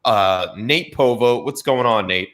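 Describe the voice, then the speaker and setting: Male podcast host, bright forward tone, joking easily with guests. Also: mid-range voice, measured pace. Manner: jokey, upbeat introductions. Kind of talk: casual podcast chat.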